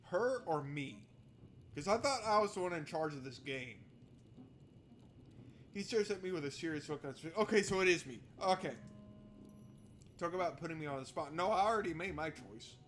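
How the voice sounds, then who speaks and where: A man's voice: fairly high pitch, animated, normal speed, pauses often, fairly bright tone, a little hiss or hum. A man doing casual, sweary let's-play commentary, talking to himself and reading game text aloud. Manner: informal, sweary, talks to himself. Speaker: game streamer. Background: game music.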